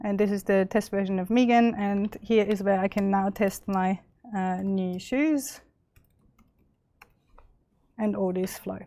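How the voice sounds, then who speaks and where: A woman's voice: medium pitch, darkish tone. Austrian-accented woman giving a tech demo. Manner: chatty, many fillers and restarts. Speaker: presenter. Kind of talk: live tech demo walkthrough.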